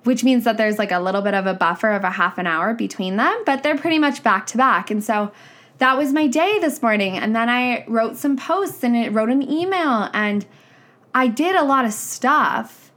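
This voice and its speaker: A woman's voice: fairly high pitch, animated, normal speed, a bit bright. Young woman, nasal and congested from a cold; frank, chatty business coach on a podcast. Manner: chatty, frank, says "like" and "right?" often. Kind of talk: casual podcast monologue.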